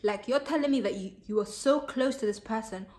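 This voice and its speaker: A bubbly young woman with a lilt talks straight to her camera, chatty and full of "like" and "um". Her is Somali, and she sounds relaxed and personal, as if speaking to her followers. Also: a little high, a little fast. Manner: bubbly and chatty, many fillers. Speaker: vlogger. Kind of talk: casual chat to camera.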